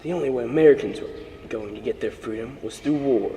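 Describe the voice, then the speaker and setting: A man's voice: mid-range voice, quick pace, dark tone, close to an everyday voice. A teenage boy playing earnest historical characters in an amateur puppet show, reciting scripted lines somewhat stiffly. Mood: earnest, argumentative. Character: opinionated questioner, later a modest, honorable colonial gentleman. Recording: roomy reverberant recording with background hiss.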